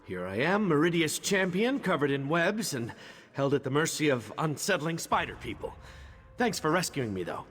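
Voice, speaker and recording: Flippant wry knight for a fantasy game, highish voice, big echoey reverb. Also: male voice, sing-song, normal speed, a bit bright, husky, exaggerated voice. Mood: sheepish bravado. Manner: wry, glib, theatrical. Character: cocky, flippant male knight champion, rescued and sheepish. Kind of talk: fantasy video game voice acting. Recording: long reverb over background music.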